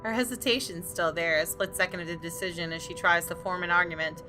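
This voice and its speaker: Casting: female gaming host reading story narration, slightly low voice, clear game music behind her. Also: steady tone, fast talker. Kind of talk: reading visual novel narration aloud with casual asides.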